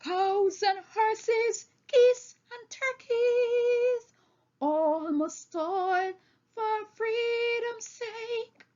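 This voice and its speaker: Woman voicing a solemn old boar orator, high-pitched. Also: warm tone, muffled recording, exaggerated voice. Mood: rousing, singing. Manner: oratorical, deliberate, emphatic. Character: old male boar, solemn rousing orator to fellow animals. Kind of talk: audiobook character speech and song.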